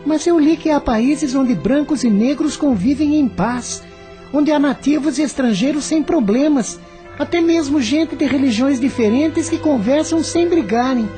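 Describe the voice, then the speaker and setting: A woman's voice: sits high, measured pace, mellow, soft background music. A woman playing an earnest, idealistic teenage schoolboy who thinks aloud and questions things, in a radio drama. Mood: pensive.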